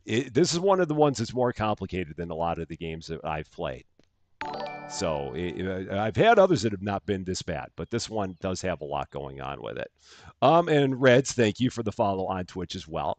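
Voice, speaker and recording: Lively low-voiced male game streamer. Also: moderate pace, dry recording. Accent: general American. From Chicago area, USA. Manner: upbeat, greets chat by name, frequent fillers and restarts. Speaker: live-stream gaming host. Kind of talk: casual live-stream chat greeting viewers.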